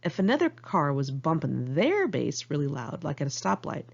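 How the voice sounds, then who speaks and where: A woman with an American accent narrates her memoir in a lowish voice with a husky rasp. She is candid and wry, telling it informally like an amateur audiobook. The recording is a little muffled. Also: huge pitch swings, moderate pace, darkish tone. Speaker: author reading own memoir.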